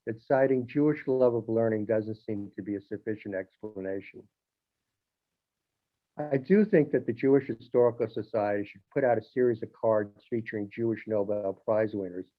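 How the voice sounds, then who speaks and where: A man's voice: fairly low pitch, moderate pace. A man who writes a newspaper column, speaking informally to the audience with restarts, self-corrections and wry jokes. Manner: conversational, with restarts and wry asides. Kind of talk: informal monologue. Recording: phone-like narrow band.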